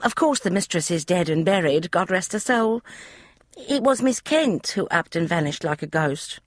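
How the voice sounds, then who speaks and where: A woman's voice: fairly low pitch, expressive, normal speed, no room sound, voice pushed up. A woman voicing a young, flustered housemaid who gossips in broad, common speech. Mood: gossipy.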